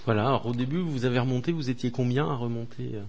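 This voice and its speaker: A man's voice: mid-range voice, fast talker, a little mellow. A male radio host asking guests questions in an easygoing, conversational way, with casual fillers. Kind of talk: interviewer.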